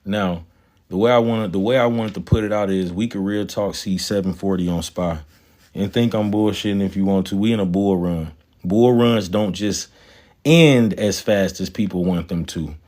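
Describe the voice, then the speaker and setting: Swaggering low-voiced man talking stocks. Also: normal speed, darkish tone. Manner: swaggering, profane, slangy, talks to chat. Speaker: stock market livestreamer. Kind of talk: casual livestream chat about stocks.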